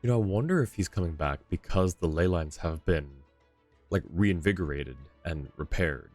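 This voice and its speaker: Super deep voiced guy doing casual teasing stream commentary, really lively delivery, game music quietly behind. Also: normal speed. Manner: playful, teasing, chuckling.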